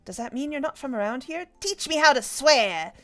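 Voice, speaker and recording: A woman's voice: sits high, expressive, normal speed, bright, forceful tone, faint music behind. A woman voicing a chatty, nosy young animal child in a comic read-along, with blunt, eager questioning. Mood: excited curious.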